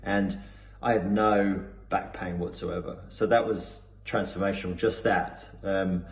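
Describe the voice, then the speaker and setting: Deep-voiced Cornish man, hesitant testimonial. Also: steady tone, unhurried. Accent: Cornish English. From Cornwall, England. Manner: hesitant, many fillers and restarts. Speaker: customer giving a testimonial. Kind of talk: unscripted testimonial. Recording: echoey room with faint background noise.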